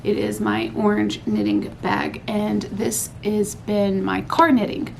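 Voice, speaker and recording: A woman, hosting a casual craft podcast from home at night, a bit hoarse after losing her voice, chatty and rambling. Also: medium pitch, steady tone, slow pace, slight background noise. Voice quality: hoarse, recovering from lost voice. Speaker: podcast host. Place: home at night, laundry running.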